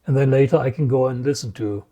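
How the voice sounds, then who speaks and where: Man, mellow relaxed voice, measured scripted narration, explanatory, matter-of-fact, hardware demonstration. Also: mid-range voice. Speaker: presenter, radio scanning enthusiast.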